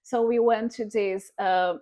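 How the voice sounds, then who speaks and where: A woman's voice: on the high side, fairly even intonation, unhurried, dark tone. A woman from Brazil, speaking English with a Brazilian Portuguese accent and a lot of hesitant fillers in a casual chat. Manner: hesitant, with many fillers and restarts. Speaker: guest.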